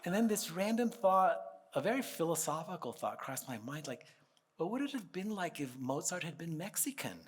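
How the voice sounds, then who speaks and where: A man who is a pianist and composer, talking casually to a concert audience and reciting a poem in a playful manner, with many chuckles and dramatic pauses. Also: on the high side, very animated, noisy background. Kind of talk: informal stage talk and poem recitation. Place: concert hall stage. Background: faint audience laughter.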